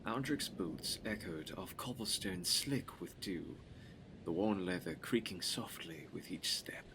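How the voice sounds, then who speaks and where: A man narrating a gothic audio drama from a script in a solemn, measured, dramatic way. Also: low voice, creaky, background noise.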